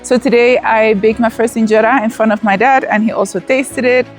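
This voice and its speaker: A woman in her thirties, raised in the Netherlands, narrating a casual travel vlog outdoors at an event venue, chatty and excited, with fillers and restarts. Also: a little high, fairly quick, faint music behind. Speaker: vlogger.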